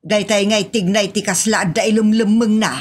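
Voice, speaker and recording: A woman's voice: medium pitch, steady tone, normal speed, very noisy, lightly acted. A woman with a northern Philippine accent playing a suspicious, scolding older mother in a comedy audio drama.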